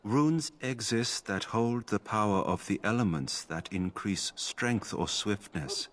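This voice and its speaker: A man playing a stoic, emotionless mage enchanter in a fantasy video game, speaking in a formal, measured way. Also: on the low side, expressive, fairly bright tone. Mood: detached, formal. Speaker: game character. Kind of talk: scripted fantasy game acting.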